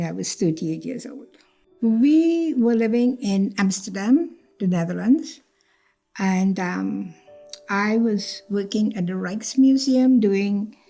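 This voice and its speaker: An older woman from Trinidad recounts her past in a reflective interview, often repeating herself and voicing the words of others. Her voice is mellow and carries a gravelly fry. Soft music plays faintly behind her. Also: mid-range voice, low-quality recording. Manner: reflective, repeats phrases, acts out quoted speech. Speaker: cancer survivor telling her story. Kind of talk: personal storytelling interview.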